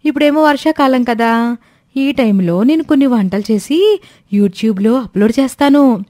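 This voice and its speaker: A woman performs a scatterbrained young daughter-in-law in a cartoon moral tale. Her voice is raised and girlish in pitch, with an eager, innocent politeness. The sound is very dry, without any room echo. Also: lively intonation, moderate pace, mellow, lightly acted. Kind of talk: Telugu animated moral story dialogue.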